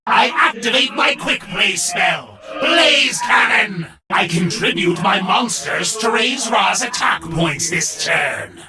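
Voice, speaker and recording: A man's voice: high voice, far from the mic, heavily acted. A man playing an arrogant, menacing young villain in an anime card-duel game, theatrical and imperious. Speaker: voice actor. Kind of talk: English anime dub game character lines.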